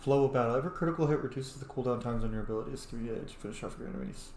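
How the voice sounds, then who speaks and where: A man's voice: mid-range voice, rapid-fire, a little mellow, a little hiss or hum. A man streaming a video game, chatting casually and reacting as he thinks aloud. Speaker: gaming streamer. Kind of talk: casual gameplay commentary. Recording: roomy echo.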